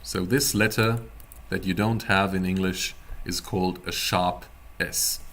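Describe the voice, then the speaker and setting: A man giving a casual live-streamed language lesson as a teacher, explaining word by word in a halting, deliberate way. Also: deep voice, fairly even intonation, a bit bright, slight background noise. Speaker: German teacher.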